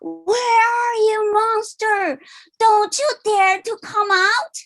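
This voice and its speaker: A woman voicing a furious, bullying storybook monster with drawn-out, shrill shouting. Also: dry recording, studio quality, heavily acted. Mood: angry, challenging.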